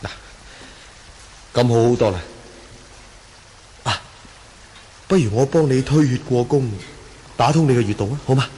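A man's voice: mid-range voice, measured pace, pauses often, warm tone, lightly acted. An actor playing a brave, protective young swordsman in a Hong Kong Cantonese radio drama, earnest and reassuring. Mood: gentle, considerate. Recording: faintly noisy 1980 radio drama.

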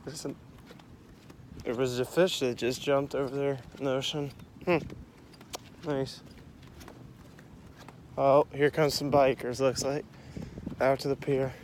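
A man's voice: mid-range voice, steady tone. The young guy you'd hear filming himself on an aimless stroll down a sidewalk, unhurriedly narrating whatever comes by, a little traffic murmuring around him. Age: young adult. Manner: repetitive, unhurried narration of surroundings. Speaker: amateur vlogger. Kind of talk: casual rambling walk-along vlog. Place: outdoors, walking along a street and pier trail. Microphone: handheld phone at arm's length. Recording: noisy outdoor phone recording with traffic.

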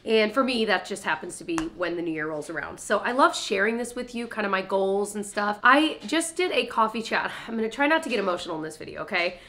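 A woman's voice: mid-range voice, expressive, fast, hardly any pauses, pushed and bright. A young woman vlogger chatting casually to camera, upbeat and laughing often, her voice nasal from a stuffy nose. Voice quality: nasal from congestion. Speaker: lifestyle vlogger.

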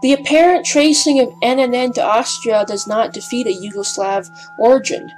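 A woman's voice: a little high, fairly even intonation, moderate pace, darkish tone, faint music behind, low-quality recording. A woman narrating a scripted amateur documentary, her delivery a bit hesitant and breathless at times.

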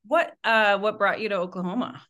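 A woman's voice: medium pitch, a little fast, a bit bright. A woman hosting a podcast and interviewing a guest. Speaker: podcast host.

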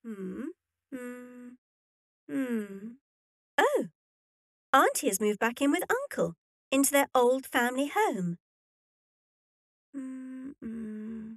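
A woman acts a young Victorian heiress, newly wed, in a period audio drama. Her voice sits on the high side. She is spirited and affectionate toward her husband. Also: big pitch swings, slow, pauses often, exaggerated voice. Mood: absorbed, light.

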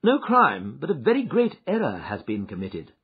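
Guy reading an audiobook, doing a keen detective in a raised, clipped voice, bouncing pitch, kinda dull lo-fi sound. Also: wildly swooping pitch, moderate pace, breathy edge, exaggerated voice. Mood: assured. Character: sharp, eager male detective.

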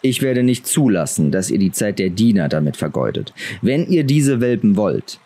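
A man voicing a stern, grave middle-aged lord and father in a fantasy audiobook, measured and commanding. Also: on the low side, sing-song, mellow, slightly rough, exaggerated voice.

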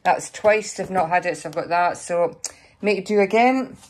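Chatty Scottish woman, slightly hoarse. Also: lowish voice, moderate pace. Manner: chatty, rambling, with fillers and small laughs. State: slightly hoarse, losing her voice. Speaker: vlogger showing a grocery haul. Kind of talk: casual chat to camera. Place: kitchen counter at home, indoors.

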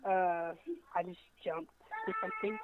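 A woman chatting casually as a co-player in a game, excitable and often repeating herself. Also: on the low side, a little slow, over music. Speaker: gamer, co-player. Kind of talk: casual gameplay chat. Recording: tinny, phone-like voice chat line.

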